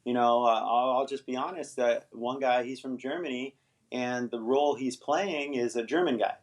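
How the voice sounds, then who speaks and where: A man's voice: medium pitch, moderate pace. A middle-aged man hosting an English-teaching livestream, chatting casually with viewers and rambling, often tagging "right?" onto his points. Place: Los Angeles.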